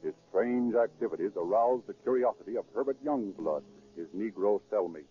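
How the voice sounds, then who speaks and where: A man's voice: lowish voice, lively intonation, normal speed, phone-quality sound, a little hiss or hum. An American man narrating a 1930s radio crime drama in a formal, dramatic scripted style. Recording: thin 1930s radio recording with music.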